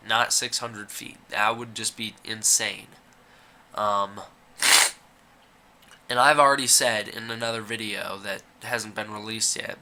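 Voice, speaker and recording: A young man with a very bright, sharp voice rambles through his opinions in a casual video commentary. He is fighting a cold and fills his sentences with "uh" and "like". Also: medium pitch. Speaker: YouTuber.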